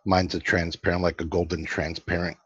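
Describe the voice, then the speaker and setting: A man leading a guided meditation as host, giving slow, soothing instructions with long pauses and a husky voice. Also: low voice, level tone. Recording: dull, lo-fi, roomy sound.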